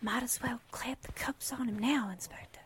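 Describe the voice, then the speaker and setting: A woman playing a cheeky young reporter's assistant with a breathy, whispery voice in a 1940s-style radio drama. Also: on the high side, fairly even intonation, normal speed, a little hiss or hum, close to an everyday voice.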